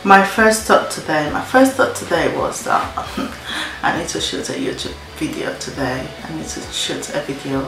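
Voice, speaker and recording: A young woman whose first language is Spanish, chatting casually to her camera in a story-time vlog. She talks loosely, repeats her own phrases, and fills pauses with many ums. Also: low voice, animated, gravelly. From Spain. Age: young adult. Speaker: vlogger. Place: indoor room against a plain wall. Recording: roomy echo, faint music.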